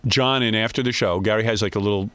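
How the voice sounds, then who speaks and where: A man's voice: fairly low pitch, fast talker, fairly bright tone, dry recording. A man hosting a radio talk show, telling stories conversationally on air. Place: radio studio.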